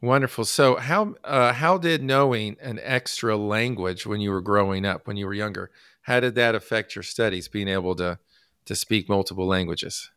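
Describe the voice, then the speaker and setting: A man from the United States hosting a casual podcast interview, halting with many fillers and restarts. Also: on the low side, fairly bright tone, clear, resonant tone, no echo, studio quality. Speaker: podcast host.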